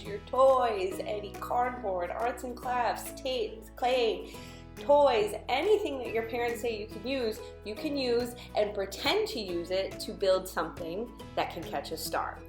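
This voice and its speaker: A woman presenting to young children in the style of a lesson and storybook read-aloud, with a simple, guiding manner. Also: fairly high pitch. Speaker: children's STEM teacher and presenter.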